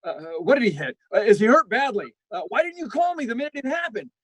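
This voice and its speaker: A man's voice: very high-pitched, very animated, quick pace, a bit bright, slightly rough, dry recording, exaggerated voice. A man playing a fussy, sardonic doctor in old-time radio comedy, trading mock insults with emphatic delivery. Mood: mock alarm. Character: sardonic, fussy male doctor, a needling family friend. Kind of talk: classic 1940s radio comedy acting.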